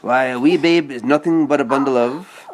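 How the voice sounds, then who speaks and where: A man's voice: mid-range voice, normal speed, slight background noise, pitched above the performer's own voice, close to an everyday voice. A man voicing a scruffy, streetwise stray dog from a storybook, cynical and knowing in manner.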